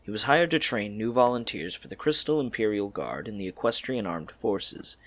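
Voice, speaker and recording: A man's voice: on the low side, lively intonation, normal speed. A man reading scripted story narration for an audio drama.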